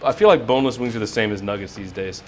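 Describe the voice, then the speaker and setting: A man's voice: lowish voice, fast. A man hosting a casual, joking food-challenge interview, speaking as the interviewer. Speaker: host interviewer.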